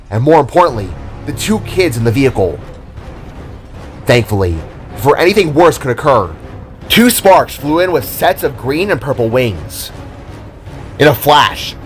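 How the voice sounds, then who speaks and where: A man narrating a scripted story in a dramatic style. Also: medium pitch.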